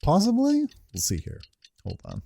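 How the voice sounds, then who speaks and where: Mellow man doing casual game commentary. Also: high-pitched, wildly swooping pitch, moderate pace, gravelly. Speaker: gameplay video host.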